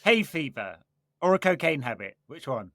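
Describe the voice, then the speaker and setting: A man's voice: a little high, sing-song, normal speed, fairly bright tone, dry recording. A man hosting a casual football podcast, chatting with dry, sardonic banter. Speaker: podcast host.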